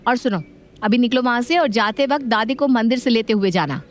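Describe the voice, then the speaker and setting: A woman playing a strict, watchful modern mother with a firm, knowing, scolding manner in an animated family story. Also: fairly high pitch, brisk, lightly acted. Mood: stern, knowing.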